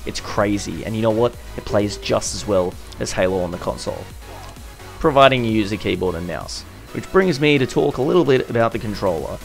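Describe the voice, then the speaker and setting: Aussie young guy talking over gameplay, low and a bit gravelly, trips over words and laughs it off, faint music under him. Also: expressive, brisk. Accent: Australian. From Australia. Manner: chatty, self-correcting with little laughs. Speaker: gaming YouTuber. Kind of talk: casual voice-over commentary over gameplay.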